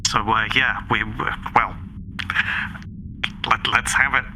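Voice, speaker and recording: A man's voice: mid-range voice, fairly even intonation, slow, noisy background. A man improvising in character in a tabletop game, speaking politely, tentatively and a little wordily.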